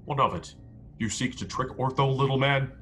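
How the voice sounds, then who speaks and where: A man's voice: medium pitch, moderate pace, a bit bright, natural voice. A man playing a proud, stubborn, defiant starship crewman in a radio play, speaking in a declamatory way. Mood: scornful, stubborn. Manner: declamatory, speaks of himself in third person. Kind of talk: radio play acting over video call. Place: indoor room at home. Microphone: headset on a video call. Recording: background noise on video call.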